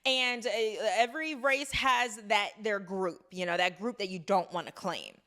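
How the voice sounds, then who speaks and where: A woman's voice: medium pitch, normal speed, pushed and bright. A woman giving an emphatic, lecturing opinion monologue that speaks straight to the listener. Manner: emphatic, lecturing, direct address. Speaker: social commentator in a played clip.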